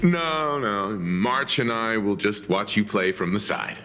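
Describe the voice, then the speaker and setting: An actor playing a calm male companion in a video game, delivering scripted character dialogue. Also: fairly low pitch, unhurried, exaggerated voice. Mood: declining, easygoing. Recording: loud music behind the voice.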